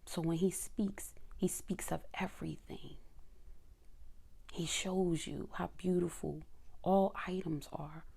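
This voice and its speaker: A woman preaching a devotional sermon in a soft, intimate whisper, reading scripture and speaking to her listeners as children. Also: fairly low pitch, steady tone, slow, gravelly, slightly rough. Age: adult mother.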